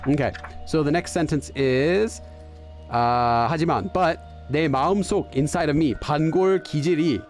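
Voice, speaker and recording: A man's voice: on the high side, fast. Man, gaming host, casual play-along commentary, hesitant fillers, faint music behind. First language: English. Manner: thinking aloud, hesitant, many fillers and restarts. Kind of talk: casual let's-play commentary, translating aloud.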